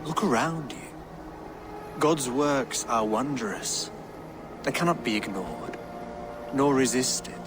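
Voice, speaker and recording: An actor playing a pious, serene deposed English king in a video game, speaking formally and with measured solemnity in an English accent. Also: mid-range voice, natural voice. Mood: devout, resolute. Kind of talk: dramatic video game acting. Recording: game audio with music underneath. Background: music.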